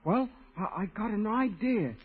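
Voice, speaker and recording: A timid, slow-witted gangland sidekick, high-pitched and husky, stammering through his slang. The sound is a muffled old radio drama. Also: animated, full character voice. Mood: meek, earnest. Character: timid, dim, loyal male gangster sidekick. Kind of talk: 1930s radio acting. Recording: muffled vintage radio broadcast.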